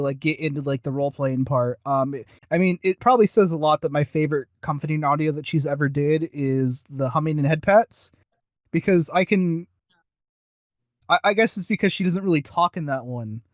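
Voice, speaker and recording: A man co-hosting a casual review podcast, talking in a rambling, halting but enthusiastic way. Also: a little high, no room sound, highs cut off. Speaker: podcast co-host reviewing audio roleplays.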